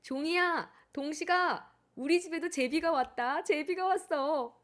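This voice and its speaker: A woman's voice: high-pitched, a little slow, fairly bright tone, voice pushed up. A woman voicing an earnest little boy in a children's story, calling out eagerly. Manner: eager, pleading calls. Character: earnest little village boy longing for swallows.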